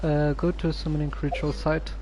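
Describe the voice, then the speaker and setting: A woman streamer giving casual, deadpan commentary as she plays a story game. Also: low-pitched, moderate pace, noisy background. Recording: voice over game music.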